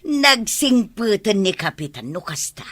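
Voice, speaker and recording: A woman's voice: medium pitch, big pitch swings, a little slow, fairly bright tone. An actress with an Ilocano accent playing a chatty, curious village woman in a comedy drama.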